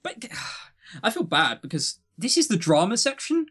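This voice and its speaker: A man's voice: high-pitched, huge pitch swings, moderate pace, fairly bright tone, breathy edge. A man of twenty-two co-hosting a podcast in casual, joking chat, with tongue-in-cheek, self-deprecating banter. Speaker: podcast co-host.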